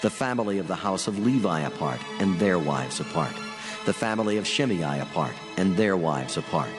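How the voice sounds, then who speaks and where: A very deep male voice rings out in long reverb, a majestic, solemn God. The weighty scripture declamation has clear music behind it. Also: animated, moderate pace. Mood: grave, mournful. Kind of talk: dramatized scripture reading.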